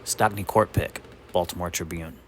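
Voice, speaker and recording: A man reading absurd comic literary snippets aloud in a deadpan storytelling manner. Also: low-pitched, moderate pace, slight background noise. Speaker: amateur reader.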